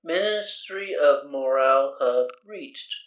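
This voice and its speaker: A man's voice: medium pitch, animated, slow pace. A man playing an impassive computer that issues warnings and objectives in an audio drama, clipped and formal. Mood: impassive, official. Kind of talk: amateur audio drama acting. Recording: echoey, slightly dull audio.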